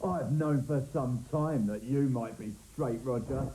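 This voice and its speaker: A man's voice: mid-range voice, measured pace, warm tone, a little hiss or hum, low-quality recording. A man acting a sly, mock-formal part in a comedy sketch.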